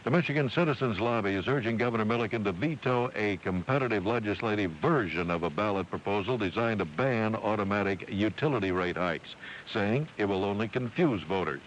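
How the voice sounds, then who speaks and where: Low-voiced man reading late-night radio news. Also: fairly quick. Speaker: radio newscaster. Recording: muffled, faintly hissy 1982 radio tape.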